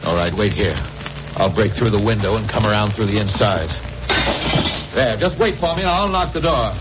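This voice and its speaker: A man's voice: lowish voice, lively intonation, brisk, heavy background noise, close to an everyday voice. An actor playing an urgent, hard-headed, impatient man giving orders in a 1940s radio horror drama. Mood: commanding. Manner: insistent, commanding, exasperated. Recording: muffled vintage radio recording with music.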